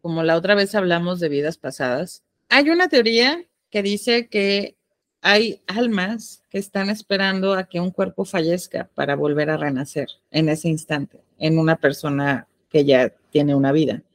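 A woman's voice: on the low side, normal speed, no echo, clean studio sound. A young woman with a Mexican accent co-hosting a casual podcast, explaining ideas and posing questions to her partner. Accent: Mexican Spanish. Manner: inquisitive, explains and poses questions, laughs easily. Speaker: podcast co-host. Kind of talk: casual chat.

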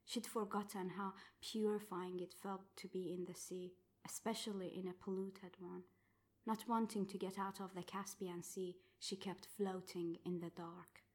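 A woman's voice: medium pitch, normal speed. A woman in her thirties from Iran, a Farsi speaker, reading her fiction and then talking animatedly about her writing career, with many fillers and restarts. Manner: animated, with frequent fillers and self-corrections. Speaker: author, guest. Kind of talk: reading her fiction aloud, then casual interview talk.

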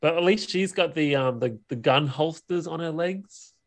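A man's voice: on the high side, moderate pace. A man co-hosting a podcast, discussing films casually in an informal, questioning way. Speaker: podcast co-host.

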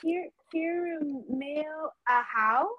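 A woman's voice: high voice, extremely slow, bright, forceful tone, dry recording, dull audio. A woman. She talks casually as a co-host, reading out titles as she goes.

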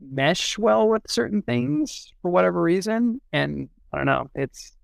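Male poetry podcaster: raised pitch, heavy vocal fry, casual delivery that circles and repeats itself. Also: sing-song, no echo, studio quality. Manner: halting, rambling, repeats words as thoughts form. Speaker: poetry editor discussing poems on a podcast. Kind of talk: casual discussion with a short read-out.

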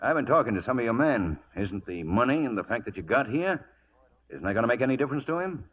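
A chatty cattle buyer, very lively pitch, emphatic, old time radio drama. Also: male voice, quick pace, breathy edge, muffled recording. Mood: genial, concerned. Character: genial, businesslike eastern cattle buyer. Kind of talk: 1940s radio acting.

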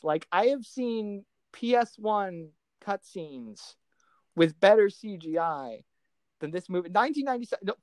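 A woman's voice: mid-range voice, darkish tone, no echo. A woman co-hosting a casual film discussion podcast, talking in an exasperated, sarcastic way. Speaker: podcast co-host reviewing films.

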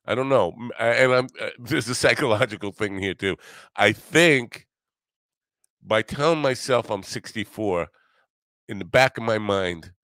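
A man in his early sixties with a deep voice, recorded dry with no room around it. He hosts a casual solo podcast, rambling from thought to thought in a halting way. He is self-deprecating and drops in the odd curse. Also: a bit bright, no room sound. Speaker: podcast host.

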